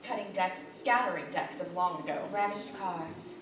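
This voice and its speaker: A woman's voice: fairly low pitch, normal speed. A woman giving a dramatic, poetic scripted story narration live on stage. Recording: distant, echoey, noisy live theater recording.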